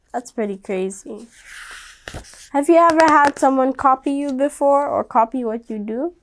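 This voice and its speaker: A woman reading a picture book aloud to children, conversational and speaking directly to her young listeners. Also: high-pitched. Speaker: storybook reader.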